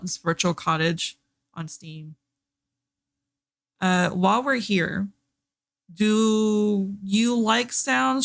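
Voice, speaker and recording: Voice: medium pitch, a bit bright, dry recording. Chatty Southern-accented streamer, dry close sound. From Texas. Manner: chatty, rambling, many fillers and restarts. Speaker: streamer hosting a reading sprint. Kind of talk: casual livestream chat. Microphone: desk microphone, headset off.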